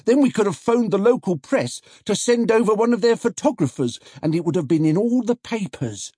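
A man voicing an eager, excitable male stallholder hatching a protest plan in an audiobook. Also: high voice, brisk, breathy edge, no room sound, clean studio sound, pitched above the performer's own voice. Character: scheming enthusiastic male stallholder.

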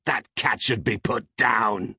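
A man's voice: low voice, sing-song, normal speed, a little mellow, slightly rough, no room sound, clean studio sound, heavily acted. A man playing a self-important, jumpy comic hero in an audiobook, whining, huffing and grumbling like a sulky teenager. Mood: bitter, startled. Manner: whiny, huffy, grumbling.

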